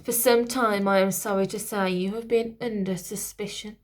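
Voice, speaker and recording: A woman voicing a troubled king who speaks flatly and reluctantly. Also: mid-range voice, normal speed, lightly acted. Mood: grave. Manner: flat, distant, reluctant. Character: weary troubled male king, middle-aged.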